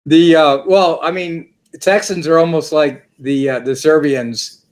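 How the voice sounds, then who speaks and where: A man's voice: on the high side, measured pace. A man speaking as a guest on a talk show, rambling with many repeated words and restarts. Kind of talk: casual interview chat.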